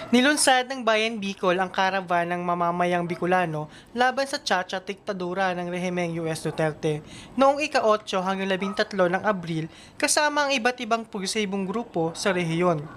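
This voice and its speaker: A woman's voice: mid-range voice, lively intonation, normal speed, a bit bright, a little hiss or hum. Woman narrating a scripted newsreel. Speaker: news narrator.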